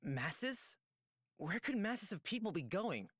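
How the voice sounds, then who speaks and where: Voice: medium pitch, big pitch swings, takes it slowly, a bit bright, husky, dry recording, clean studio sound, full character voice. An actor voicing an earnest, anxious exiled boy searching for answers in a fantasy visual novel, with a hesitant manner. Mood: uneasy, curious.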